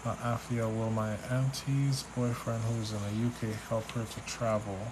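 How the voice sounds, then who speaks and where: Man, Trinidadian accent, live stream spiritual reader, chatty and relaxed, mid pitch, faint noise behind. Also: normal speed. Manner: relaxed, chatty, reads names and questions aloud, restarts often. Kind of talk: live stream reading, answering chat questions.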